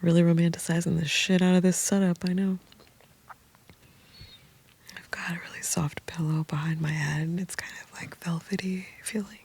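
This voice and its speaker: A woman whispers in a low, gravelly, breathy murmur, rambling softly and intimately. Her tone stays mellow and flat. She is lying on the floor of a small sound booth. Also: moderate pace. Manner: soft whispering, meandering and intimate. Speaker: host. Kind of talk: whispered late-night rambling.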